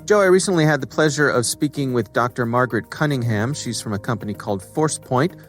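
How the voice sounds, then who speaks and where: A man's voice: medium pitch, fast talker. Guy hosting a podcast, mid voice, conversational, music behind him. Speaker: podcast co-host.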